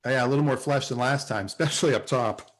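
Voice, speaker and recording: A man acting a flustered, smitten office salesman in a sitcom table read, with a halting, excitable delivery. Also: mid-range voice, natural voice. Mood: awkward banter. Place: video call at a table read.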